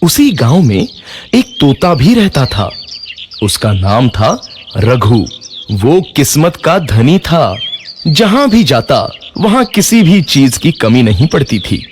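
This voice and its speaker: A man narrating a children's cartoon story in a lively, expressive way. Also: medium pitch, slow, slight background noise.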